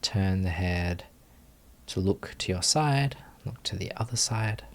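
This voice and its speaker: A man leading a guided meditation as a teacher, giving gentle instructions with long pauses. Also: low-pitched.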